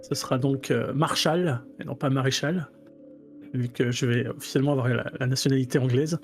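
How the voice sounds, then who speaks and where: A man at a role-playing table, talking casually at a middle pitch. He restarts sentences as he works out his character's choices. Faint music sits under his voice. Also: steady tone, a little fast. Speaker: player.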